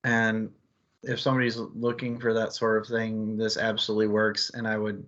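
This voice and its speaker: Low-voiced man chatting about books, slightly muffled. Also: monotone, moderate pace, fairly bright tone. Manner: rambling, conversational, peppered with "like" and "you know". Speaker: book club show co-host. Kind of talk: casual book discussion chat.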